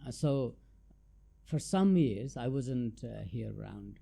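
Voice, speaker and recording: A man's voice: medium pitch, lively intonation, slow pace, gravelly, mellow, slightly rough. A middle-aged man from Nepal, a tax adviser answering interview questions in a thoughtful, hesitant way with many fillers. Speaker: guest, chartered tax adviser.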